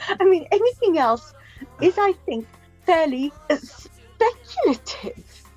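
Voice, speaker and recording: An actress playing a flustered, kindly middle-aged mother in a radio play, hesitant and gently chiding. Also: very high voice, sing-song, slow pace, muffled recording, faint music behind, heavily acted.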